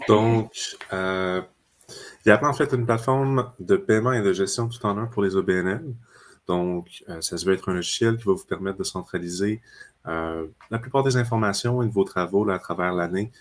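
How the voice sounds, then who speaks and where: A man presents software during an online webinar. His voice sits very low. He explains things steadily and uses frequent fillers. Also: normal speed. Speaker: customer success manager.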